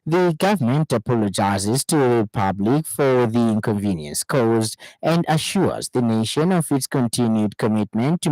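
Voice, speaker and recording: A man with a Zambian accent reading a scripted radio news bulletin in a formal, measured way. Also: lowish voice, darkish tone, no echo, poor audio. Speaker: news reader.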